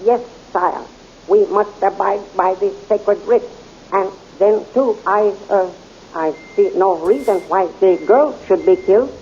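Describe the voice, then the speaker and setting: An actor playing a scheming male high priest in a 1930s radio serial, speaking in a smooth, solemn, ceremonial way, on a muffled old recording. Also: unhurried, slight background noise.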